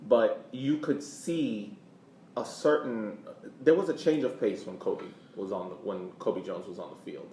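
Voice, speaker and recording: An American man co-hosting a podcast, talking casually about sports in a halting way, repeating words as he searches for phrasing. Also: fairly low pitch, dark tone. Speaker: podcast co-host. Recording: echoey room, distant mic, faint background noise.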